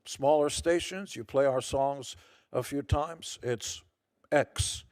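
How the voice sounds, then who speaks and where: A man's voice: mid-range voice, takes it slowly, a little mellow, dry recording, clean studio sound. A man testifying as an artist witness at a hearing, speaking in a fairly informal, explanatory way.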